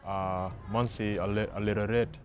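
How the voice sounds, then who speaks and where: A young man from South Sudan, a college basketball player, answering interview questions haltingly with many fillers, on a lapel mic in a dark empty arena. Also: lowish voice, fairly even intonation, music in the background. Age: young adult.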